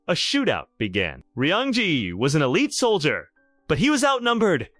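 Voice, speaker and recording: Animated higher-pitched male storyteller. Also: moderate pace, no echo, studio quality, faint music behind. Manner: theatrical, emphatic delivery. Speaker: story narrator. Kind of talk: dramatic scripted romance storytelling.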